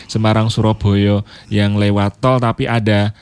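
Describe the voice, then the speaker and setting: A man's voice: fairly low pitch, level tone, unhurried, darkish tone, clear, resonant tone, no echo, studio quality. A man hosting a radio show, chatting playfully and laughing often. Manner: playful, laughs often, mixes Javanese into his talk. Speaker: radio host. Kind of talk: casual on-air chat.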